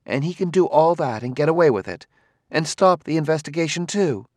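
Man voicing an emotional, pleading woman, pitched up. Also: expressive, brisk, dry recording, studio quality, natural voice. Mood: urgent pleading. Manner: earnest, insistent, breaking into hushed speech.

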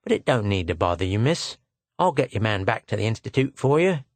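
A man's voice: fairly low pitch, very animated, fairly quick, no echo. A man voicing a small, cheeky fruit seller in a story, slangy, ingratiating and impudent.

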